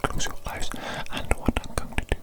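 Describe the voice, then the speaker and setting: A woman voicing an evil, doting mad doctor in a breathy ASMR whisper, with formal, repetitive phrasing. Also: close to an everyday voice. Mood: pleased, instructive. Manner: slow, repetitive, formal old-fashioned phrasing. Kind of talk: whispered ASMR medical roleplay.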